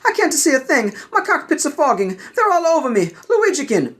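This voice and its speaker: An excitable little plumber hero, pitched very high in falsetto. He frets and exclaims in a swooping sing-song, like a cartoon character in an audio play. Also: a little fast, exaggerated voice. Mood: panicked. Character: excitable Italian-accented plumber Jedi master, falsetto, fretful.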